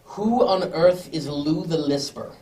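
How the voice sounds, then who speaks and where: A woman's voice: sits low, measured pace, mellow. A woman playing a decisive aristocratic lord, direct and authoritative, in an amateur radio drama. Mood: urgent. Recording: noisy, clicks, distant microphone.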